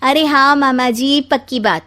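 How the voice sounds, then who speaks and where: Woman doing perky young daughter-in-law, cheeky and cocky, high voice, cartoon comedy. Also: unhurried, bright, forceful tone. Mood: eager, confident. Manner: perky, taunting delivery. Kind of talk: comic cartoon story acting.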